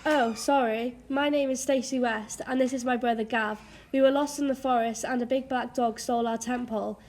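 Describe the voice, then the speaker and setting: A woman's voice: a little high, fairly even intonation, moderate pace, a little mellow, lightly acted. A woman playing a sensible, bossy young sister in an amateur radio drama. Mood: polite, apologetic.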